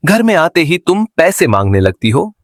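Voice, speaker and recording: A man playing an excitable village mutton seller in a cartoon, complaining and emphatic. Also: a little high, normal speed, dry recording, studio quality. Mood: exasperated. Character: village mutton sellers, excitable, put-upon adult men. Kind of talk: Hindi cartoon voice acting.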